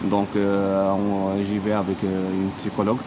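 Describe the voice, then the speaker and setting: A man in his thirties from Morocco speaks earnestly in a testimonial, halting and restarting. His voice sits low and stays flat. Clear background noise runs under the whole recording. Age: 30s. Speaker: shower team leader, interpreter. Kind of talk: testimonial interview.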